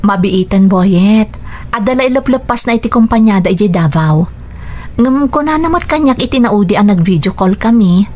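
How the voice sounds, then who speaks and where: A woman playing a patient, dutiful wife and stepmother in a Philippine radio drama, speaking in an earnest, gentle way. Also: mid-range voice, expressive, moderate pace, close to an everyday voice. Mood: reassuring.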